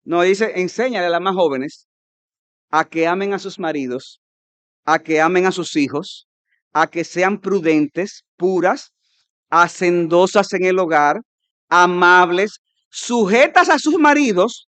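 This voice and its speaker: A Dominican man preaches a conference sermon in a fairly high voice, driving his points home with sudden loud peaks. He acts out his listeners' objections in quick mimicking asides. The sound is dry, with little room echo. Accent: Dominican Spanish. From Dominican Republic. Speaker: pastor.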